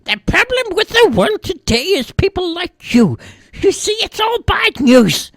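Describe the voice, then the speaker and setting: A woman's voice: very high voice, very animated, unhurried, no echo, clean studio sound, pitched above the performer's own voice, full character voice. A woman playing a dotty, cantankerous old lady, rambling and querulous. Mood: indignant, scolding. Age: older.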